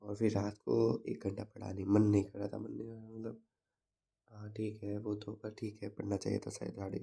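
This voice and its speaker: A young man with a slightly sore throat, talking through his day as a student in an unhurried, reflective vlog. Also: lowish voice, gravelly, dark tone. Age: young adult. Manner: unhurried, reflective, mixes English words into the talk. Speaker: engineering student vlogger. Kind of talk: casual daily vlog recap.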